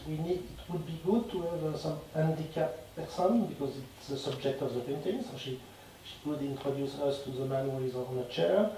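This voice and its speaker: A French man, a film director, leading a festival Q&A in English with a French accent, halting and searching for words. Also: a little high, mellow, a little hiss or hum. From France. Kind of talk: festival Q&A after a screening. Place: cinema hall. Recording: echoey cinema hall from far off.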